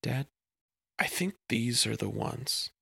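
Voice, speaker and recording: A man's voice: mid-range voice, expressive, slow, gravelly, sharp tone, slightly rough, dry recording, exaggerated voice. A man voicing an earnest, precocious, self-assured young girl in a storybook tale, speaking articulately. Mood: earnest, persuasive. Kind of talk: storybook character voice.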